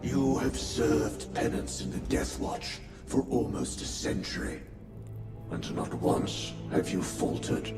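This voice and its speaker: A slow, solemn warrior chaplain in echo. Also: male voice, mid-range voice, big pitch swings, far from the mic. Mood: stern, grave. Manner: grave, ceremonial, measured delivery. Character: solemn military chaplain overseeing a warrior's penance and oath. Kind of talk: dramatic video game cutscene acting. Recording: roomy echo under loud music.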